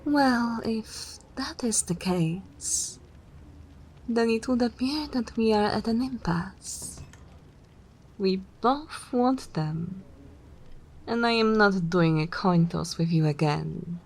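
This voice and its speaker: A woman's voice: medium pitch, slow pace, a little mellow, a little hiss or hum, low-quality recording. A woman playing a haughty, seductive vampire mistress in an ASMR roleplay, teasing and self-assured in a theatrical way. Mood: composed, scheming.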